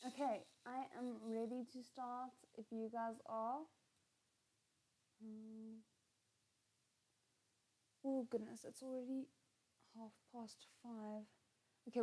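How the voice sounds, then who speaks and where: Higher-voiced woman chatting on a livestream. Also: warm tone, poor audio. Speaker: host of a live watchalong reaction stream. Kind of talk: casual livestream chat with viewers.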